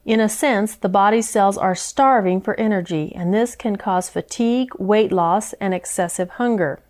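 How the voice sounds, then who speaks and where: Measured woman presenting a health lecture. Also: mid-range voice, darkish tone. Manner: measured, explanatory.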